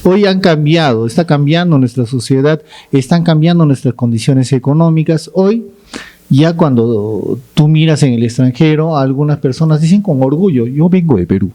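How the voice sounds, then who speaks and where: Man, from Peru, panel debate, halting, mellow, higher voice. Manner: explanatory, halting restarts.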